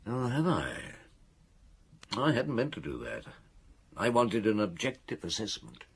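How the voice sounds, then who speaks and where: A middle-aged actor playing a weary, reflective chief inspector in a radio drama, speaking in a measured, ruminative way. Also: male voice, lowish voice, wildly swooping pitch, creaky, slightly rough, heavily acted. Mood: troubled recounting. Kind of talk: radio drama dialogue and recollection.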